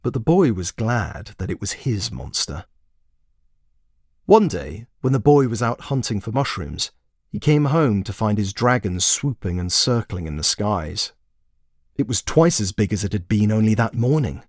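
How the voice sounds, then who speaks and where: A man's voice: fairly low pitch, big pitch swings, unhurried, dry recording, clean studio sound. A man narrating a story expressively, in the manner of a storybook reading. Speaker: author and narrator.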